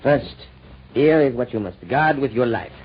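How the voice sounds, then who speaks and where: An actor playing a suave, secretive shopkeeper in a 1940s radio drama, courteous and conspiratorial. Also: male voice, medium pitch, expressive, moderate pace, natural voice. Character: suave, secretive French music-shop owner, male schemer. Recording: dull, noisy old radio recording.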